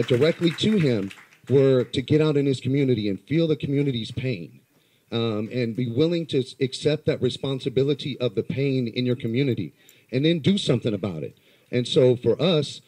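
Man, thirties, low voice, mid pitch, earnest halting organizer addressing a room through a handheld mic. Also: mellow, poor audio. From Los Angeles. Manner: earnest, halting with many fillers. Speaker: community organizer. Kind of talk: speech at a political meeting. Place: small crowded indoor campaign office. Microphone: handheld microphone.

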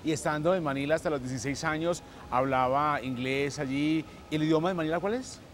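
A man hosting a TV interview outdoors in a courtyard, giving rapid, upbeat introductions and short questions on a lapel mic. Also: fairly high pitch, slight background noise. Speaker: interview host. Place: outdoor courtyard with passing people and planes.

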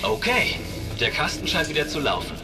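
An actor playing a level-headed male spaceship captain in a German sci-fi horror audio drama, brisk and commanding. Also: a little high, big pitch swings, vocal fry. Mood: focused. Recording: loud music behind the voice.

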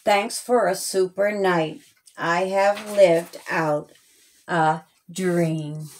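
A woman voicing an excited, earnest little boy in a rhyming Easter storybook. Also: lowish voice, drawn-out words, pitched below the performer's own voice. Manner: earnest, simple rhymed sentences.

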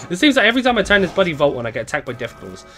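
A young man with a hoarse, fading voice, chatting casually to viewers as he live-streams a game at home on a desk mic. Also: fairly high pitch, big pitch swings, racing, pushed and bright, soft background music. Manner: chatty, greets viewers, reads chat aloud. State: hoarse, voice going.

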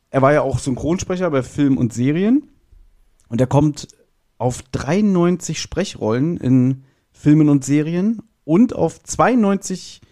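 A man's voice: a little high, warm tone. A man talking casually as a guest on a review podcast.

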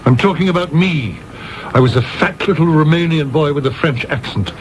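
An actor playing a prim, aggrieved, principled theatre producer in a radio play, with a formal and reproachful way of talking. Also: male voice, fairly high pitch, normal speed, slight background noise, close to an everyday voice, rough recording. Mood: earnest, aggrieved.

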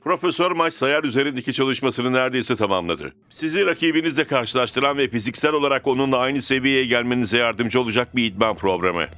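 Man acting a forceful, wise football coach. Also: medium pitch, fairly quick, hardly any pauses, close to an everyday voice. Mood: assured. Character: calm, wise male football team coach. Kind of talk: animated cartoon dub.

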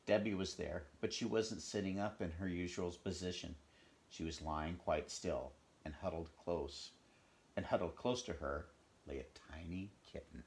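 An older man reads a storybook aloud in a measured, expressive way, recorded at home in a small room. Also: low-pitched. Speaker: storybook reader. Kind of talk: read-aloud storybook narration. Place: small home bedroom, indoors.

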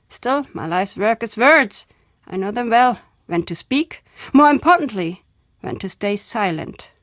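A woman's voice: mid-range voice, no room sound, clean studio sound, lightly acted. An actress playing a wry, fatalistic old woman storyteller in a video game, speaking dramatically. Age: older. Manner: dramatic, emphatic storytelling with foreboding turns.

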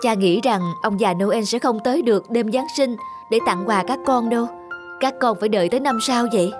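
A poor cobbler father in a cartoon drama, kind and worn out. He speaks in a gentle, reassuring way, with music playing clearly behind him. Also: very high voice, fairly quick. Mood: weary, apologetic.

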